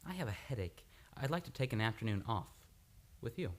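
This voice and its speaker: A man playing a youthful, charming but edgy chief doctor, courteous and expressive, in an old-time radio play. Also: medium pitch, brisk, lightly acted. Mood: thoughtful.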